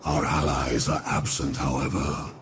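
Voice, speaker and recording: A man voicing a giant undead spider lord in a fantasy game cutscene, gloating and crooning grandly in a creaking croak. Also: level tone, heavy background noise. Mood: grim. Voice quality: deep gravelly creaking croak. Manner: slow, crooning, speaks grandly of himself.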